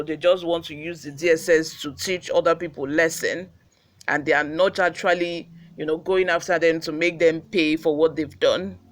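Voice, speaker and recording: A man with a Nigerian accent, hosting a news channel with read reports and informal commentary. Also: a little high.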